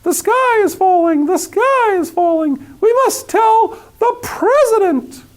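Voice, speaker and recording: A man's voice: very high-pitched, animated, slow pace, voice pushed up, full character voice. A man voicing a dim, panicky storybook chicken, squawking alarmed cries over and over. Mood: frantic alarm. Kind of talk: storybook read-aloud character voice.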